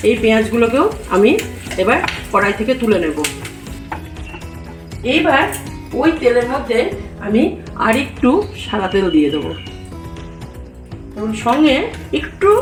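Female cooking host, higher-pitched, measured instructional voice-over in short phrases, faint music underneath. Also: big pitch swings. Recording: roomy echo, music behind.

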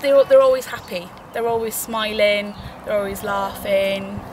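A woman's voice: medium pitch, a little slow. An eighteen-year-old woman, talking cheerfully with some fillers about volunteering in an interview. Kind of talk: documentary interview. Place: outdoors by a wall. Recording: outdoor, loud ambient noise.

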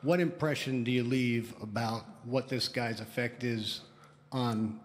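Male sports reporter, mid-pitch, measured question, reverberant press room. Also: steady tone.

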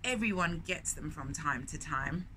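A woman in her thirties reading a picture book aloud to young children, with expressive drawn-out emphasis and questions put to the listener. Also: low voice, a little hiss or hum. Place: indoors in a bookshop, in front of shelves.

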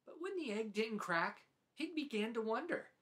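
A man in his forties narrating a children's storybook as host, with deliberate, dramatic phrasing. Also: very high voice, very animated, fairly bright tone. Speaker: host reading picture books aloud.

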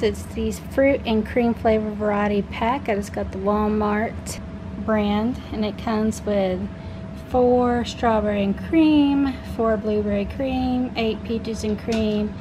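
Unhurried young woman chatting, noisy home recording. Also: medium pitch. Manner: chatty, rambling, self-correcting. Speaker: vlogger, parent showing a grocery haul. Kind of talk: casual unscripted product show-and-tell. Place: indoors in a home kitchen.

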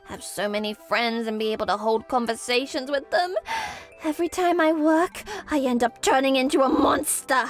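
A woman's voice: high-pitched, moderate pace, soft background music, lightly acted. A woman voicing an anxious, perfectionist young career woman with a dramatic, needy streak, in an anime-style dub. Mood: whiny despair. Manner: melodramatic, whiny when distressed, composed at work.

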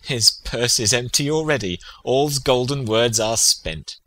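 An actor playing a prince's loyal, sensible friend in a Shakespearean audio drama, plain and dryly observant. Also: male voice, medium pitch, a little slow, a bit bright, no room sound, close to an everyday voice. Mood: wry.

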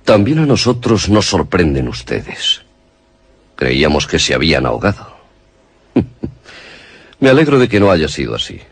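A masked radio-drama villain with a very deep, gravelly voice. He is menacing and mock-courteous, swinging widely in pitch as he threatens with deliberate care. The recording is close and dry. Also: big pitch swings, dry recording, heavily acted. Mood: sinister, mocking. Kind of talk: Spanish-language radio horror acting.

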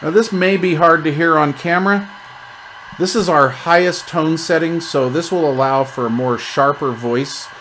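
A man's voice: fairly high pitch, fairly bright tone, a little hiss or hum. A man talking casually through a hands-on product demonstration, explaining features step by step as a reviewer.

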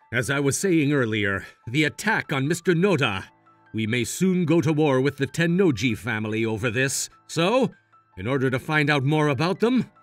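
An actor plays a young male cartoon gangster, casual and a little timid. His explanatory talk is lively and recorded very dry. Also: medium pitch, dry recording. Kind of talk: animated manga dub dialogue.

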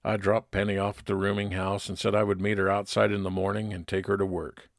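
A man reads scripted first-person detective narration in old-time radio style. His voice sits very low with plenty of gravel. The recording is dry and close. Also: flat delivery, quick pace, no room sound. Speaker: narrator playing a private investigator.

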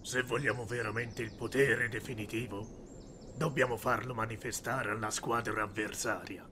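A man voicing a calculating, scheming villain in an Italian anime fan dub, speaking in a measured way. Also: medium pitch, fairly even intonation, noisy background, close to an everyday voice. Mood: cold, scheming. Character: calculating villainous man plotting for ultimate power.

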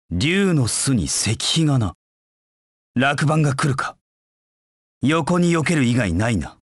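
An actor voicing a proud, cold dark knight in a video game, with curt, haughty, disdainful delivery. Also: male voice, medium pitch, no room sound, studio quality. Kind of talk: video game voice acting in short battle lines.

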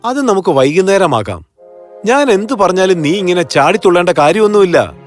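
An irritable, work-weary cartoon husband, played by a man, grumbles in a high voice. His delivery is animated and exasperated, swinging widely in pitch over faint music. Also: a little fast. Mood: irritated. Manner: exasperated, complaining.

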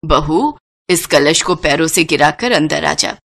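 A woman voicing a gently authoritative elderly village mother-in-law and grandmother in a cartoon moral story. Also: sits low, lively intonation, unhurried, gravelly, pitched below the performer's own voice, exaggerated voice. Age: older.

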